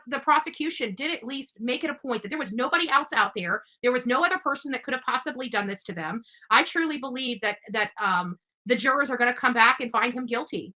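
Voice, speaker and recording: A woman hosting a true-crime livestream, commenting over footage in a halting, emphatic way with repeated words. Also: a little high, pushed and bright, low-quality recording. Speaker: livestream host.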